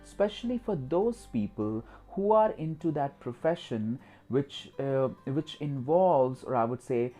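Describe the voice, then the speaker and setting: A man's voice: medium pitch, expressive, a little slow, hardly any pauses, mellow, faint music behind. A man with an Indian accent, a native Hindi speaker, giving an instructional talk as host, repetitive and emphatic with frequent filler phrases. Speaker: host of a skills channel.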